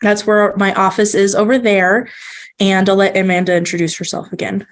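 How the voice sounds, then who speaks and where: A woman with a stuffy, congested voice walks listeners through a presentation in a loose, friendly manner. She speaks at a middling pitch and often pauses on ums and restarts her sentences. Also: flat delivery. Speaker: accommodations specialist presenting. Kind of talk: informal webinar presentation.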